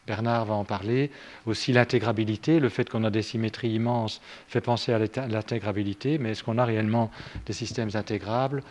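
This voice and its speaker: A man's voice: fairly low pitch, steady tone, fast, a little mellow. A man introducing a seminar speaker in a formal, hesitant way, with frequent fillers. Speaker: course lecturer introducing a seminar.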